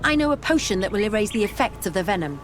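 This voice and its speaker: A woman's voice: medium pitch, big pitch swings, quick pace, a little hiss or hum, close to an everyday voice. Actress as an earnest, composed woman scholar. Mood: urgent, reassuring. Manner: polite, clear-headed, sincere. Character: earnest, composed female scholar skilled in potions. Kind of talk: scripted video game acting.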